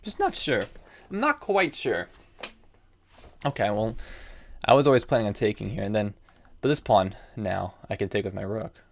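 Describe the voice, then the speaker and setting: A man's voice: fairly low pitch, very animated, moderate pace, vocal fry, pauses often. A young American man in his early twenties streaming chess, thinking aloud about his moves and answering chat in a casual, musing way. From United States. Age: young adult. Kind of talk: live commentary while playing chess.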